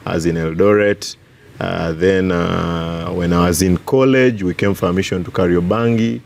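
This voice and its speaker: A man in his thirties from Uganda, a pastor chatting as a guest, halting and repeating words, laughing easily. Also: deep voice, creaky, darkish tone, slight background noise. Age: 30s. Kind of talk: casual interview chat.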